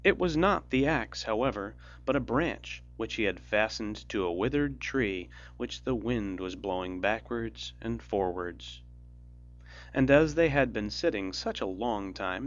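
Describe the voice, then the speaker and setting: A man reads a fairy tale in a measured storybook style. His pitch rises and falls in a very lively way, with music underneath and slightly muffled sound. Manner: measured, expressive storybook delivery. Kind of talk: scripted fairy tale narration.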